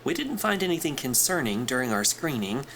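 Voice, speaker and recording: A man's voice: mid-range voice, very animated, brisk, gravelly, a bit bright. A man acting an easygoing corporate interviewer in a sci-fi audio drama, informal, tactful and reassuring. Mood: tactful, businesslike. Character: smooth, easygoing corporate interviewer at aerospace company.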